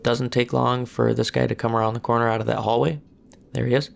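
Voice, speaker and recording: A hoarse man giving calm step-by-step commentary through a stealth game walkthrough. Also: mid-range voice, fairly quick, slight background noise. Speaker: gameplay walkthrough narrator.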